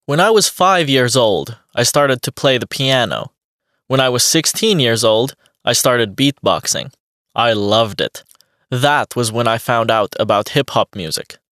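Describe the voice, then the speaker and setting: A man's voice: mid-range voice, animated, measured pace, a bit bright, dry recording. A man voicing a friendly young male beatboxer answers a radio host's questions in a mid-range voice. His delivery is plain and evenly paced, like a scripted language-course dialogue. The sound is dry and close, with no room around it. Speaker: interviewee.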